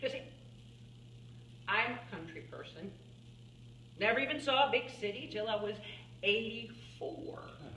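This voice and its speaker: A woman playing an elderly, folksy farm-woman painter in a theatrical monologue, halting and musing, plainspoken and wry as she reminisces. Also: a little high, animated, fairly bright tone. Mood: amused, animated. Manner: halting, musing, with small chuckles and pauses. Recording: roomy, distant mic, faint background noise.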